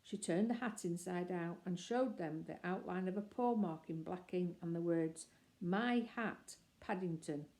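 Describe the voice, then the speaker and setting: A woman reading a children's story aloud in a gentle, measured way. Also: on the low side. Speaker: narrator.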